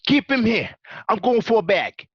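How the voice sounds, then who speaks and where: A volatile, aggressive gangster, acted by a man in an amateur radio play. The voice sits very high for a man and swings widely. The recording is dry and a little dull. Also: big pitch swings, moderate pace, husky, no room sound, full character voice. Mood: angry, curt. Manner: foul-mouthed, aggressive. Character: hot-headed, volatile male gangster with a grudge.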